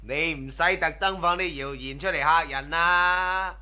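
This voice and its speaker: An actor playing a greedy, ruthless, boastful robber in a radio drama. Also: male voice, fairly high pitch, measured pace, bright, forceful tone, clean tone. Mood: scornful, boastful. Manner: boastful, sneering, swaggering. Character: cocky, greedy, ruthless male robber scoffing at superstition. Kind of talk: Cantonese radio drama acting.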